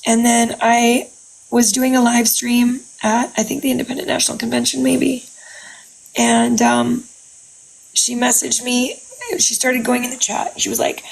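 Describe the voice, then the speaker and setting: A woman with a raspy voice, telling a personal story in casual chat on a video call. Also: on the high side, little pitch movement, a little slow, low-quality recording. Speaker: content creator.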